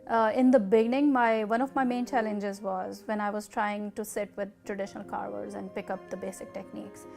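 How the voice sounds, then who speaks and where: A middle-aged woman with a Pakistani accent speaks in a mid-pitched voice with audible creak. She recounts personal experience in a measured, deliberate interview style, over faint music. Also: flat delivery. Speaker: artist interviewee.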